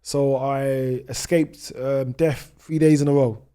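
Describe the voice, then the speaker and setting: British man with a mellow voice. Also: mid-range voice, fairly even intonation, measured pace. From United Kingdom. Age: 30s. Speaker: travel vlogger, podcast guest. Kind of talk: conversational interview storytelling.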